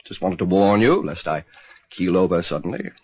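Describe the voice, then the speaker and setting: An actor playing a blunt, wry older doctor in a radio drama, talking in clipped phrases. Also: male voice, low-pitched, lively intonation, normal speed, a little mellow, slightly rough, full character voice. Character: gruff, blunt older male doctor, war-scarred. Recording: dull, noisy radio recording.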